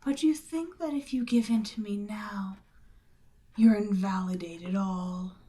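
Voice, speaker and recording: A woman voicing a seductive, imperious demon queen in an audio roleplay, speaking deliberately and theatrically, with breathy near-whispered phrases. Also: fairly high pitch, warm tone, slight background noise, low-quality recording. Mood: mocking, knowing. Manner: slow, deliberate, theatrical, persuasive. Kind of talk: roleplay audio drama, ASMR monologue.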